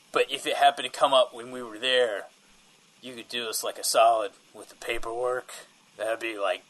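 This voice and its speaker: A man's voice: mid-range voice, a bit bright, natural voice, poor audio. A man playing a shifty, defensive field reporter in a comedic audio drama, rambling and repeating himself evasively.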